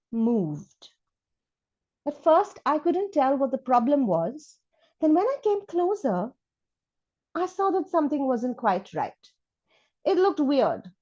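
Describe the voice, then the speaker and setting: Lady reading as a grumpy curious school kid in a storybook, expressive and complaining, kinda dull lo-fi sound. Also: fairly high pitch, exaggerated voice. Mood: curious, candid. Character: resentful, curious schoolchild writing letters to a new classmate. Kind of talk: read-aloud children's storybook.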